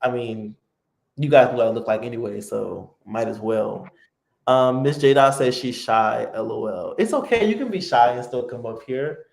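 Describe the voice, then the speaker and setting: A man hosting a casual live-stream chat, relaxed and talkative with lots of fillers. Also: medium pitch, normal speed, creaky, roomy sound. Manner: relaxed, chatty, many fillers. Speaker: host.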